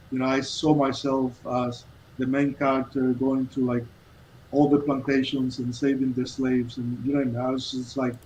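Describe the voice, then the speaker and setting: A man from Cuba in his fifties, a guest telling his life story in an earnest, halting way with many restarts. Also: mid-range voice, warm tone, lo-fi sound, slight background noise. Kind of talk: casual storytelling interview.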